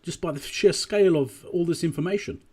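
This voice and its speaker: A man giving an explanatory business presentation as a voice-over, speaking deliberately and emphatically and repeating key phrases, with a slightly husky voice. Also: fairly high pitch, warm tone. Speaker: presenter analysing drive-through performance.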